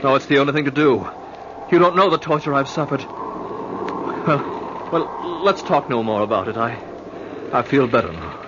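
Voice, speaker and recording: A man's voice: mid-range voice, lively intonation, lightly acted. Noisy old radio, actor as tormented obsessive man. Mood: guarded unease. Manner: intense, halting and repetitive, flaring into angry commands. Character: tormented obsessive painter, possessive, secretive, volatile. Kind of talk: old-time radio horror acting. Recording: noisy, dull vintage radio recording.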